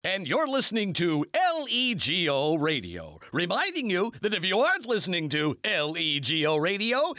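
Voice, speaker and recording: A man's voice: sits high, wildly swooping pitch, normal speed, few pauses, no echo, highs cut off. A man playing a zany, over-the-top radio announcer in a game, punchy and theatrical. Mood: mock serious. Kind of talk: parody radio announcing, hype commercials and headlines.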